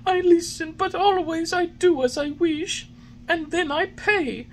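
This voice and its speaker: A woman voicing a small wooden puppet boy who is frightened and remorseful, in a high, squeaky, wailing voice with sobbing, for a storybook reading. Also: normal speed, darkish tone, a little hiss or hum, rough recording. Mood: sobbing, despairing.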